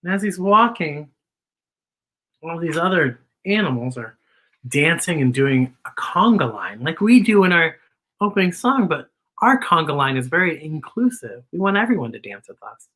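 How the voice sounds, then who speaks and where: A woman hosting children's story time, reading a picture book expressively and deliberately explaining it to young listeners. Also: sits low, big pitch swings, fairly bright tone.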